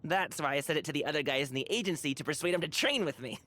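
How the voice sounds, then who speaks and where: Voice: medium pitch, fast, bright, forceful tone, close to an everyday voice. An actor voicing a cheerful, cocky young wushu dancer and guard for a video game, with breezy, slangy, boyish bravado. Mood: teasing, cautionary. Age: young adult. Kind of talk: video game character voice lines.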